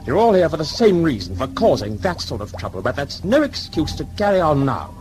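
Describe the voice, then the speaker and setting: Man playing a pompous, lecturing commander, animated delivery. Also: fairly high pitch, fairly quick, background noise. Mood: stern, lecturing. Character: gruff male governor of a convict scavenger crew, musing and condescending. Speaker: actor in an audio drama. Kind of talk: audio drama acting. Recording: music under the dialogue.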